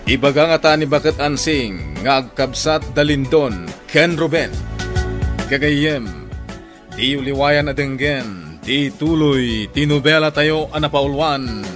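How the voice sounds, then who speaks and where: Guy announcer for a radio serial, slow and emphatic, faint music under him. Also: medium pitch, animated. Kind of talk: scripted announcing, credits and teaser.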